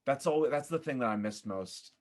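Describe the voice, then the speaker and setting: A man chatting casually as a podcast guest, with an animated, theatrical way of talking. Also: medium pitch, brisk. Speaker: former actor.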